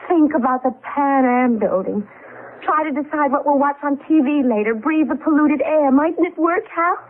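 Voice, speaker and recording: An actress playing a frightened, pleading wife in 1970s radio drama style, on a thin, noisy old broadcast recording. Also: female voice, high voice, moderate pace, phone-quality sound, natural voice. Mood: hushed, hopeful. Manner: anxious, pleading.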